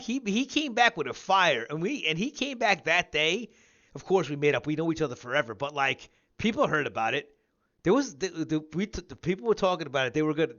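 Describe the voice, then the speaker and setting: Higher-pitched man around fifty, muffled lo-fi sound. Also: gravelly, fairly bright tone. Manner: rambling, repetitive, emphatic. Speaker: show host interviewing a guest. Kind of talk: casual livestream interview about competitive gaming.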